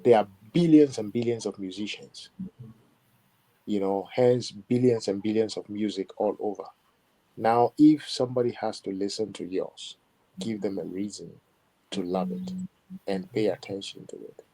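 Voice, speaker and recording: A man being interviewed as a musician, talking in a measured, reflective way with many "you know" asides. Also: on the low side, mellow, poor audio. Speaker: musician guest. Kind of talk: interview conversation.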